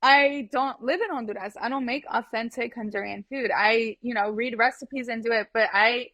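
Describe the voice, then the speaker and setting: A woman's voice: fairly high pitch, moderate pace, bright, forceful tone, no echo. A young woman from California with a Californian accent, a guest chef talking in a friendly, enthusiastic way, recorded on her phone. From San Fernando Valley, California. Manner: friendly, enthusiastic, says "like" and "you know" a lot. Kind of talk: casual chat.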